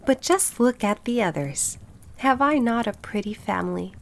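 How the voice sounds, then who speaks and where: Woman voicing a fond, bossy mother duck, lively pitch. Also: normal speed, gravelly. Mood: fond, chatty. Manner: fussy, instructive, exclamatory. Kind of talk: storybook character voice.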